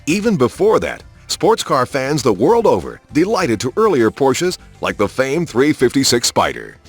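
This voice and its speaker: A man reading scripted promotional documentary narration in a polished, measured announcer style, as for a car showcase in a video game. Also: medium pitch, wildly swooping pitch, slightly rough. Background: prominent background music.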